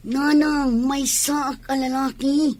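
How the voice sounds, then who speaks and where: A woman's voice: high voice, little pitch movement, slow pace, a little mellow. A woman with an Ilocano accent playing a stubborn, defiant tomboy daughter in a radio drama.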